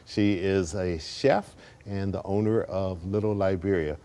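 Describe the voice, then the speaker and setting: A man hosting a local television interview show, asking questions in a brisk, conversational way. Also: sits low, steady tone, mellow. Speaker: TV talk show host. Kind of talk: broadcast interview.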